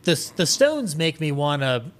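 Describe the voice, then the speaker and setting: A man talking as a podcast guest in a casual, enthusiastic way, animated, rambling and opinionated. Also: a little high, a little slow, slight background noise. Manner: animated, rambling, opinionated, acts out imagined quotes.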